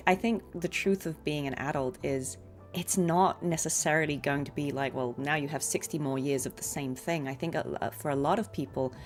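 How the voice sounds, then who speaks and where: A woman streamer giving casual game commentary in a chatty, reflective, encouraging way. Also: deep voice. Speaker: streamer and voice actor.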